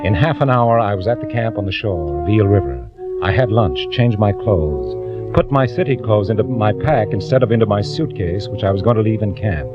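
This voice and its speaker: An actor playing a quietly scheming impostor in a 1940s radio drama, measured and confiding in his delivery. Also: on the low side, expressive, close to an everyday voice. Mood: calm, calculating. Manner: measured, confiding first-person narration. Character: quietly scheming male clerk turned impostor, narrating his plot. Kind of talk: 1940s radio acting, scripted narration. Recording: muffled old radio, music behind.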